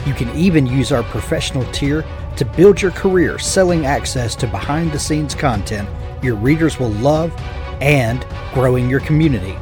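A host reading a sponsor ad in a scripted, upbeat promotional tone. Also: male voice, mid-range voice. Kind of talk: scripted ad read. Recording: faint music behind.

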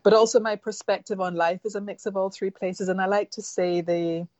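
Middle-aged novelist with a mixed Jamaican-English accent; low, flat delivery, reflective, muffled lo-fi recording. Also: female voice, dry recording. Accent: mixed Jamaican, Caymanian and English. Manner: reflective, measured, with filler ums. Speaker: novelist guest. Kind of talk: interview conversation.

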